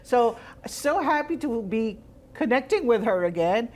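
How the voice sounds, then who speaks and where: A woman's voice: on the high side, very animated, unhurried, crowd noise. A woman from the Philippines hosting an interview show, chatting casually and reacting often with "wow.". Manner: warm reactions, frequent wows. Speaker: show host.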